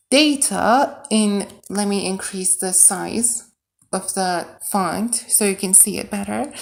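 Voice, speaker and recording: Female livestreamer and game modder, Persian accent and native Persian, mid-pitched voice, unhurried delivery, casually thinking out loud. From Iran. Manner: thinks aloud, talking to viewers. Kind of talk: casual livestream chat while coding.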